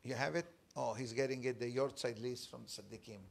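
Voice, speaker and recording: A man in his forties, a rabbi, telling a story in a Torah class in an emphatic, animated way with pauses. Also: mid-range voice, creaky. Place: study room with bookshelves, indoors. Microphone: fixed camera, seated at desk.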